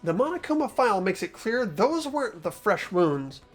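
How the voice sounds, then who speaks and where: A man's voice: high-pitched, big pitch swings, normal speed, soft background music. A man voicing an earnest teenage boy who patiently reasons out a murder case, in a fan-voiced game. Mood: assertive. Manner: earnest, explanatory. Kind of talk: fan-voiced visual novel game acting.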